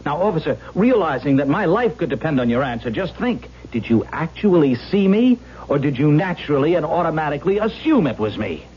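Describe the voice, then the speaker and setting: An actor playing a rattled, defensive murder suspect, halting and then emphatic, in a 1970s radio drama. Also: male voice, a little high, big pitch swings. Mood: insistent. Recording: muffled, noisy vintage radio broadcast with hum.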